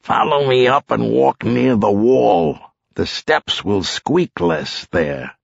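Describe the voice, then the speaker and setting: A man voicing a brash, gruff police boss with a commanding, sardonic manner in an audiobook. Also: medium pitch, expressive, takes it slowly, no echo, lo-fi sound, pitched above the performer's own voice, full character voice. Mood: bold.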